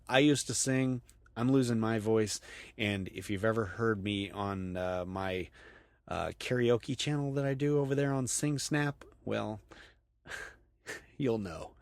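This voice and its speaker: A man's voice: mid-range voice, lively intonation, measured pace, no room sound. A middle-aged man hosting a podcast, casually reading listener emails aloud and chatting about them, laughing often. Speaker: podcast host.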